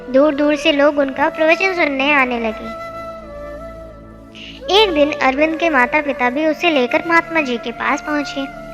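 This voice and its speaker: गूँज भरी रिकॉर्डिंग में पीछे संगीत के साथ एक महिला लिखी हुई धार्मिक नीति-कथा सुना रही है।. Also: female voice, sits high. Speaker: storyteller. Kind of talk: scripted narration of a devotional moral tale. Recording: long hall reverb, music bed. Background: music.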